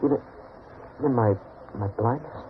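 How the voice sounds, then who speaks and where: An actor playing an earnest, insecure man in love, halting and pleading, in 1940s radio drama style. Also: mid-range voice, close to an everyday voice. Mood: frightened, pleading. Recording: noisy, tinny 1940s radio recording.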